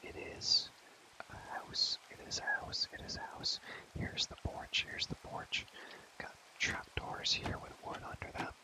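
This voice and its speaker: Young male ASMR creator for gaming commentary. Whispers throughout, rambles casually in slang, softly repeats himself. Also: a little slow, poor audio.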